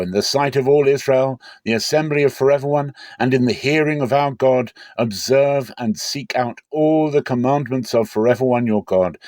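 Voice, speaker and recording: A man's voice: mid-range voice, a little mellow, no echo, natural voice. A man playing an aging, fatherly king of Israel, solemn and commanding, in a declamatory scripture reading. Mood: earnest, commanding. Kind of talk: dramatic scripture storytelling.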